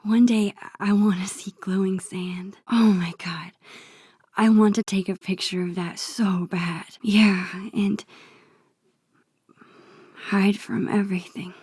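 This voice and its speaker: A woman playing a soft-spoken, introspective teenage girl in a video game, wistful and hesitant, with a whispery voice. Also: medium pitch, fairly even intonation, slow, husky, no echo, clean studio sound. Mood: dreamy, eager. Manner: hesitant, gentle, musing to herself. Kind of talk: naturalistic video game dialogue and inner monologue.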